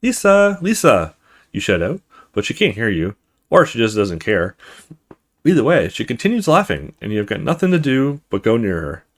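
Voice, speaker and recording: A man's voice: lowish voice, very animated, normal speed. A man hosting a let's play, reading a horror visual novel aloud in a dramatic storytelling way with casual asides.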